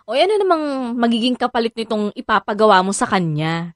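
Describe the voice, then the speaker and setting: An actress with a Filipino accent playing a sassy, frank best friend in a radio drama, teasing and exclaiming in slang. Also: female voice, a little high, sing-song, fairly quick, dry recording, studio quality. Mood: frank scolding.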